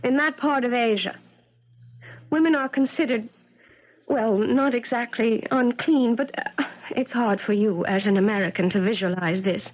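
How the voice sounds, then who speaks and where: An actress playing an agitated woman professor in a 1970s radio mystery, halting, defensive and overwrought as she denies wrongdoing. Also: on the high side, lightly acted. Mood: earnest, resigned. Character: agitated woman professor of agriculture denying a murder. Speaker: actor in a radio mystery drama. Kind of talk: 1970s radio drama acting. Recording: dull lo-fi 1970s radio broadcast.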